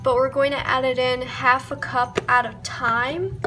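Casting: young female baking vlogger with a cold, high voice, casual recipe narration, music underneath. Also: little pitch movement, a little slow. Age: young adult. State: sick with a cold. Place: home kitchen, indoors.

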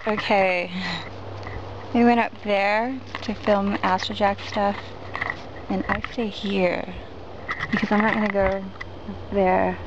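A woman's voice: mid-range voice, little pitch movement, takes it slowly, long pauses. A woman chatting casually and playfully, recorded on a handheld camera. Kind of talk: casual chat. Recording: muffled, very noisy handheld camera audio.

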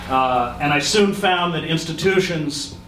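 A man telling a personal story on stage into a handheld mic, measured and dryly humorous. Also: a little high, a bit bright, slight background noise. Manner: wry, measured, dry humor. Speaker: guest speaker. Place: large theater stage. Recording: echoey hall, mic heard from far.

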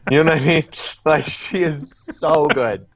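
A young man guesting on a video-call podcast, talking eagerly in quick bursts and laughing, recorded through a webcam in a small room. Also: fairly high pitch, a little hiss or hum. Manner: animated, enthusiastic, fast bursts, laughing. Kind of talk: casual chat reviewing a TV episode.

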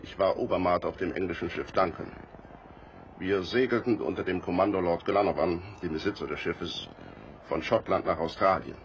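Marooned sailor, male actor: low, remorseful, subdued delivery for radio play, muffled with faint noise. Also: lightly acted. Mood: ashamed. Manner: halting, subdued confession. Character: remorseful former mutineer sailor, long marooned, gruffly ashamed. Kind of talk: German radio play acting.

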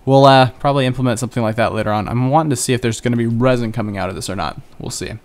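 A man's voice: mid-range voice, rapid-fire. Chatty man narrating his gameplay. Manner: chatty, rambling, self-joking. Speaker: gaming channel host. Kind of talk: casual Let's Play commentary.

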